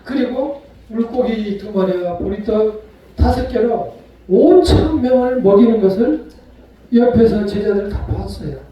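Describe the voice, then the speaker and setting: A male pastor preaching a sermon, retelling a story with acted-out voices and sharp swings between loud and soft. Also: high-pitched, measured pace, dull audio, a little hiss or hum. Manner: storytelling, acts out quoted voices. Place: church sanctuary. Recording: echoey hall from far off.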